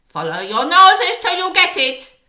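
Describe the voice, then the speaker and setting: A vicious, commanding witch queen from a children's storybook. The voice is loud, high and forceful, stretching words out in tyrannical shouts. It rings in an echoey room. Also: very animated, exaggerated voice. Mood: furious, commanding. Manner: commanding, drawn-out, shouted delivery. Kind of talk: children's storybook read-aloud.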